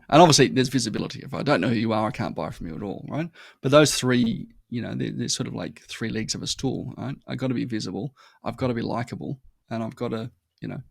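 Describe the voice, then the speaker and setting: A man with an Australian accent, a guest on a marketing podcast who talks in a lively, anecdotal way. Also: mid-range voice, gravelly, husky, no echo, clean studio sound. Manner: animated storytelling, acts out customer voices. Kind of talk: conversational interview.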